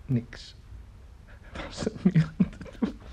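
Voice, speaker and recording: An actor in a radio drama playing a bitter, drunken old widower, rambling and halting as he repeats his words in self-pity. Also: mellow, a little hiss or hum, close to an everyday voice. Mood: grieving. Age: older.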